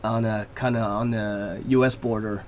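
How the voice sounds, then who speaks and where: Man, relaxed and rambling. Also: on the low side, normal speed, a little hiss or hum. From Laval, Quebec, Canada. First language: French. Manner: relaxed, rambling with many fillers. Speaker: hockey player guest. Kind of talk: radio interview.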